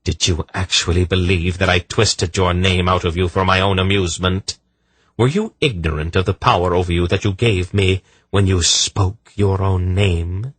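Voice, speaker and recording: A man's voice: deep voice, steady tone, moderate pace, fairly bright tone, slightly rough, no echo, pitched above the performer's own voice. A man voicing a stern sorceress in a fantasy audiobook, cold, imperious and sure of her power. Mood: scornful, triumphant.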